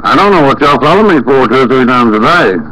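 A man's voice: mid-range voice, fast talker. A man making a prank phone call, complaining in a folksy, rambling, repetitive way with frequent exclamations. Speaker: prank caller. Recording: noisy phone prank recording, steady background noise.

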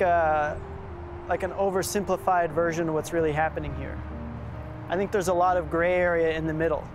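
A man in his thirties hosting a documentary, talking thoughtfully and casually, partly on location outdoors. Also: a little high. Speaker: host. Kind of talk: documentary presenting and voice-over. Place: outdoors and inside an abandoned factory.

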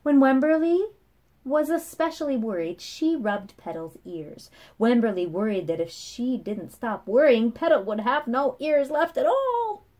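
A woman in her thirties reading a picture book aloud to children with expressive, dramatic phrasing. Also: sits high, a little slow. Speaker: children's story reader. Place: small room at home, indoors.

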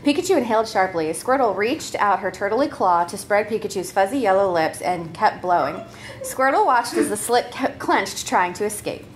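A woman's voice: mid-range voice, lively intonation, normal speed, slight background noise. A woman reading a comedic story aloud live, narrating in a playful, giggly way and readily breaking character. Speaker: troupe member reading own comedy story.

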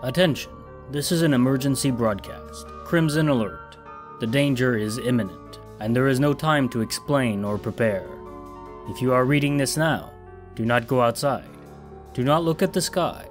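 A man's voice: medium pitch, soft background music, lightly acted. A man voicing a stern emergency broadcast announcer in a horror story. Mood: grave. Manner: slow, grave, commanding.

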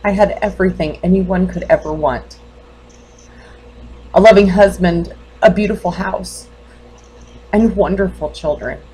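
A woman playing a grieving, defensive mother in a dramatic monologue, halting and intense. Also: lowish voice, mellow, breathy edge, voice pushed up, poor audio. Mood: anguished, grieving. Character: grieving, defensive mother confronting her doctor.

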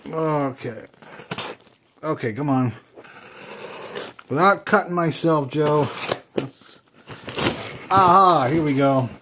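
A man's voice: on the high side, slight background noise. A middle-aged man chatting casually as he unboxes old toy cars at a table at home, thinking aloud as he handles each one. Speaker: toy car collector unboxing.

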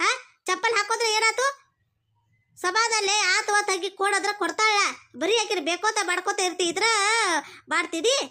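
A woman's voice: extremely high pitch, fairly even intonation, sharp tone. A woman playing a harried village mother who scolds her two quarrelling daughters in a one-person comic skit, speaking. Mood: scolding. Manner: scolding, nagging, rapid.

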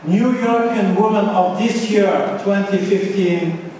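A man announcing an award from a podium in a big, echoey hall, heard from far off. He has a high voice for a man and speaks very slowly, in halting, deliberate phrases. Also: darkish tone, a little hiss or hum. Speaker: award presenter. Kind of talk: formal announcement. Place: large hall, on stage at a podium. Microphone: podium mic, camera far off.

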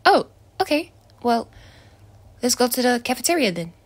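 A woman's voice: a little high, big pitch swings, moderate pace. A woman voicing a jumpy, foul-mouthed teenage boy in an ASMR roleplay, swearing and being dramatic. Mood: joking.

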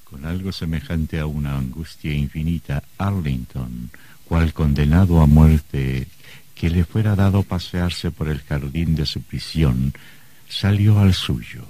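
An actor playing a grave, ominous narrator in a scripted radio horror drama. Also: male voice, extremely low pitch, dark tone, breathy edge, exaggerated voice.

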